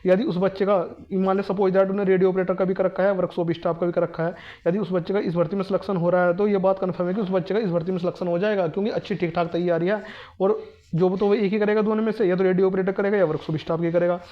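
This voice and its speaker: A man's voice: sits high, little pitch movement, rapid-fire, highs cut off. A man explaining exam information to students informally, in a repetitive, insistent, emphatic manner. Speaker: exam prep presenter.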